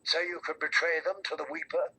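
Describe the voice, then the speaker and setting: A man's voice: on the high side, flat delivery, brisk, sharp tone, a little hiss or hum, poor audio. A man voicing a stern, guarded young lord commander in a fantasy audiobook, speaking tersely and suspiciously. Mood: wary, hostile. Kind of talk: fantasy audiobook character reading.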